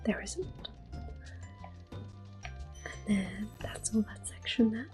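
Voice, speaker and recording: A woman whispering soft, unhurried commentary as she plays through a video game for an ASMR channel. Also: mid-range voice, lively intonation, faint music behind. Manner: soft, unhurried whisper with occasional soft-spoken words. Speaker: ASMR gameplay host.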